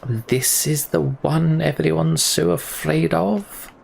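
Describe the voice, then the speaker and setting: A man's voice: a little high, steady tone, gravelly, a little mellow, pitched above the performer's own voice, exaggerated voice. A man voicing a sneering tattooed male thug from a fantasy game, drawing out his words. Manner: sneering, drawn-out.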